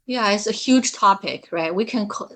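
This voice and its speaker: A woman's voice: mid-range voice, moderate pace. A woman in her fifties with a Chinese accent, talking casually as an interview guest. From China. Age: 50s. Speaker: interview guest, author and coach.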